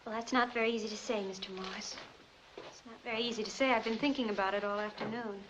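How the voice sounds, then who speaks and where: An actress playing a timid young secretary. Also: female voice, mid-range voice, steady tone, quick pace, close to an everyday voice. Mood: hesitant. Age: young adult. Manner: hesitant, soft-spoken. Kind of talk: 1940s film acting. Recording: dull, hissy old film soundtrack.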